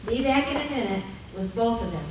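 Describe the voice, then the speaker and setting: A woman's voice: a little high, expressive, brisk, darkish tone, close to an everyday voice. An actress playing a practical mother of young children in a live radio play. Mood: domestic, brisk. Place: live on a theater stage. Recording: distant, very echoey hall, faint noise.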